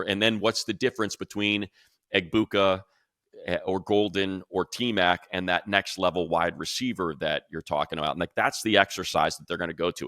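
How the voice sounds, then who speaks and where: A man with a very deep voice and a bright, punchy edge talks through sports analysis. He is conversational and emphatic, rambling with asides. The sound is close and dry. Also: dry recording. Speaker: sports show host and analyst. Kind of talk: casual sports analysis talk.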